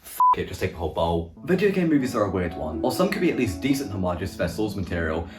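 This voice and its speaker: Young guy doing sarcastic movie review narration, lowish voice, music under it. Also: very animated, quick pace. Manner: sarcastic, swearing. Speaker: video essay host. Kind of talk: scripted comedic film review narration.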